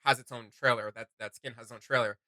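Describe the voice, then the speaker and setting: A man's voice: medium pitch, steady tone, quick pace, sharp tone, no room sound, clean studio sound. A man hosting a fan reaction show, giving casual, slangy and enthusiastic commentary.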